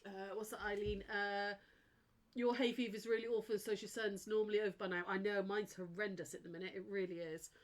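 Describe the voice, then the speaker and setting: A woman's voice: mid-range voice, steady tone, a little fast. A woman with a snuffly, blocked nose, hosting a casual live sewing tutorial and chatting with her viewers. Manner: chatty and apologetic, chats with live viewers while demonstrating. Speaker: sewing teacher hosting a live craft demo.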